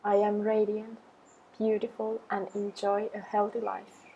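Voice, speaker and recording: A woman leading a guided affirmation meditation, speaking in slow, measured phrases with long pauses. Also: mid-range voice, monotone, creaky, dark tone, lo-fi sound, slight background noise.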